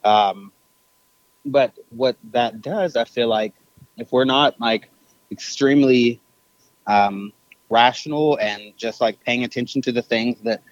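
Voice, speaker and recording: A man's voice: medium pitch, slow, no room sound. A man being interviewed over the phone, talking thoughtfully with lots of fillers and self-corrections. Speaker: podcast guest, business owner. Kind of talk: casual interview talk. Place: on a phone call. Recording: dull phone line.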